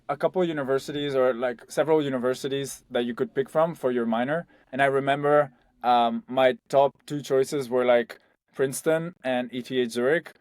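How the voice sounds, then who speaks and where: A young man from Spain, guest on a founder interview, speaking loosely and conversationally. He fills his sentences with "like" and "um" as he talks. His voice is recorded close and dry, with no room sound, at a middling pitch. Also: no echo. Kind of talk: casual interview.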